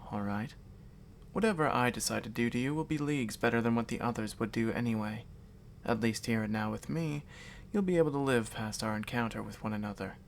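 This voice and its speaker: A male voice actor plays a predatory vampire noble in an intimate ASMR audio drama. He has a medium-pitched male voice and speaks with measured courtesy, reassuring yet condescending. A light layer of background noise sits under the voice. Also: close to an everyday voice. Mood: possessive, reassuring. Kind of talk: ASMR audio roleplay addressed to the listener. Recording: audible background noise and ambience.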